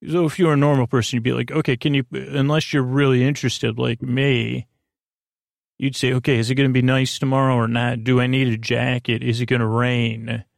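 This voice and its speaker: A man's voice: medium pitch, little pitch movement, brisk, vocal fry, no room sound, studio quality. A man hosting a bedtime podcast in a rambling monologue, hesitant and meandering, often losing his thread and doubling back. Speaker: podcast host.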